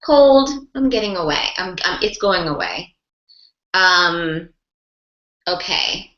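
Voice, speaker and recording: A woman's voice: fairly low pitch, expressive, takes it slowly, roomy sound, highs cut off. A woman mentoring a group of sign language interpreters, encouraging and talking through examples with lots of rephrasing and repetition. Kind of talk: online group class discussion.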